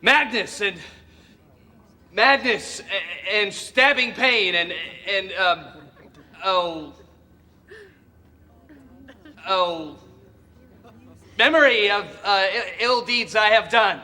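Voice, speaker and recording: An actor playing a teenage amateur stiffly reciting the tragic role of King Oedipus on stage, halting over the lines. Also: sits high, bright, forceful tone, heavily acted. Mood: floundering. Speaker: actor in a TV show clip. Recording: echoing hall, clip from TV show.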